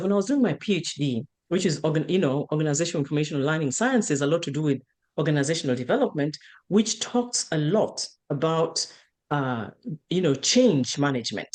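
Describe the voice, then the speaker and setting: A woman's voice: deep voice, a little mellow. Earnest Kenyan woman with many restarts. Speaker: counselor and trainer. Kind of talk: casual interview conversation.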